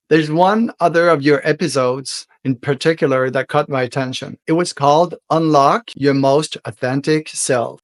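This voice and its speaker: A French Canadian man, a native French speaker, hosting his own podcast in an earnest, heartfelt monologue that slows down for emphasis. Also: fairly high pitch, no echo. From Quebec, Canada. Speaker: podcast host.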